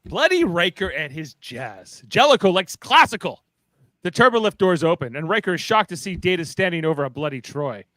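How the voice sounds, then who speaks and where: A man's voice: sits high, sing-song, normal speed, a bit bright, no room sound. A man narrating stage directions in a playful, dramatic way for a live fan script reading.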